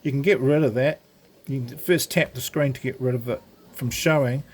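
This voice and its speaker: A man's voice: mid-range voice, normal speed, a little mellow. A man presents an unscripted gadget demonstration in a mid-range voice. He explains things casually and a little hesitantly, going one step at a time. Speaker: presenter of an amateur radio gadget demo. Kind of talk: informal product demonstration, unscripted tutorial.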